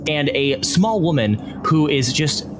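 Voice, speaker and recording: A man narrating a tabletop game as game master, describing scenes in a relaxed, descriptive way. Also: a little high, unhurried, background noise.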